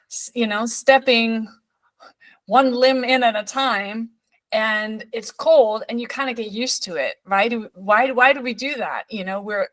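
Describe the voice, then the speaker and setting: A woman's voice: on the high side, a bit bright, dry recording. A woman from Denmark hosting a podcast interview, talking in a rambling way with many restarts and appreciative remarks. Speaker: podcast host.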